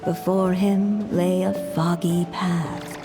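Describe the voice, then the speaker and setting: A woman acting a calm, solemn storyteller, reading fairy-tale narration in a video game. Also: fairly low pitch, slow pace. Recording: long reverb, music behind.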